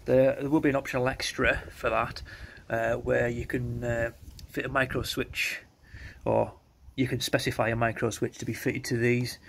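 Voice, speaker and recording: A man's voice: mid-range voice, little pitch movement, moderate pace, vocal fry, a bit bright, slight background noise. A man presenting a product in an informal walkaround, matter-of-fact and a little hesitant, recorded outdoors on a handheld phone. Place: concrete yard outdoors by outbuildings. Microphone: handheld phone behind the camera.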